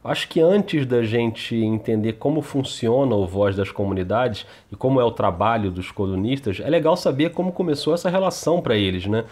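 A male podcast host speaks conversationally. His voice sits at an average pitch, and he addresses the listener directly as he presents. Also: animated, moderate pace, few pauses, a little mellow.

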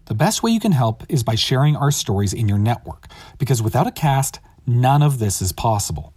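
A man's voice: mid-range voice, big pitch swings, a little fast, darkish tone, breathy edge, no echo. A man hosting an audio drama podcast, reading a scripted, upbeat outro. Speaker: host of an audio drama series.

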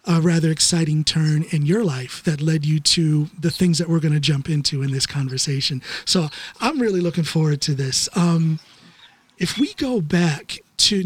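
A man's voice: fairly high pitch, breathy edge, no echo. A middle-aged or older man with an American accent, hosting a casual, conversational podcast interview. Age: 50s or older. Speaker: podcast host.

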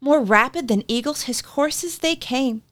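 High-voiced woman reading a storybook poem. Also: a little slow, fairly bright tone, no echo, clean studio sound. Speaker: story reader on a show. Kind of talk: dramatic reading of a rhyming poem.

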